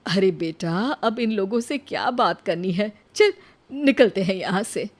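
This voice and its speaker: A woman's voice: medium pitch, expressive, a little slow. A woman voicing a scheming middle-aged mother in a moral story, bossy and coaxing. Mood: pushy. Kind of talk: animated moral-story acting.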